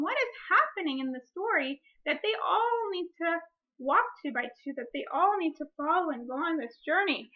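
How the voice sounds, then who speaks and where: A woman with a high voice talks as a teacher doing a read-aloud. She explains as she goes and reads with expression. The sound is a little muffled and lo-fi. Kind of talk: picture-book reading with teaching explanation. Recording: dull lo-fi recording.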